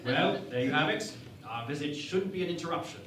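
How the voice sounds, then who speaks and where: In an echoey room with clear noise, a man acts out a theatrical starship captain for a sci-fi parody. He is petulant and lecherous, pleading like a child in a high, swooping voice. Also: expressive, fairly quick, close to an everyday voice. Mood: smug, dry. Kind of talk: parody sci-fi radio play acting.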